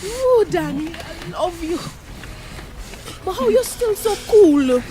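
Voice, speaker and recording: A woman acting in a radio play as an amorous, possessive older neighbour quick to scold, with a Trinidadian accent and a theatrical, coaxing manner. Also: extremely high pitch, lively intonation, mellow, exaggerated voice. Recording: radio play with music behind.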